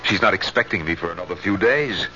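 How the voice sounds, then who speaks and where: An actor playing an eager but uneasy middle-aged husband in a radio drama. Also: male voice, sits low, quick pace, sharp tone, soft background music. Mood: cheerfully eager. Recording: muffled, noisy 1970s radio recording.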